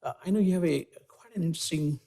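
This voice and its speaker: A man hosts an event on a university auditorium stage, his voice sitting a little high for a man. He introduces and questions his guest formally and eagerly, with a few "uh"s along the way. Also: fast talker. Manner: warm, eager, formal with small hesitations. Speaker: moderator and university IT executive. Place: university auditorium, live audience.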